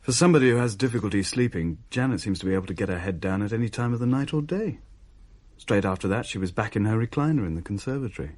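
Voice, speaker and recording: An actor playing a British man recounting his family's haunting in a radio play, measured, wry and uneasy. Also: on the low side, animated, a little mellow. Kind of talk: dramatised first-person narration.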